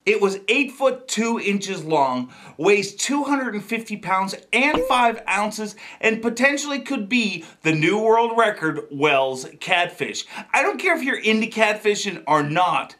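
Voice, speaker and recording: A man presents fishing news as a comedy host, with a bright, forceful voice and highly animated pitch. He exaggerates his delivery for laughs, leaning on emphatic pauses and tossing in jokey asides. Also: slight background noise. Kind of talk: comedic scripted news commentary to camera.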